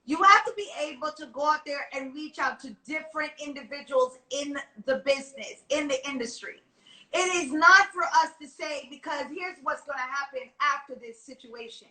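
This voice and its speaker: A woman's voice: high-pitched, normal speed, bright, forceful tone. A woman hosting a casual live video chat, speaking in an emphatic, rallying way. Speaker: host. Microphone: video call. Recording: echoey room.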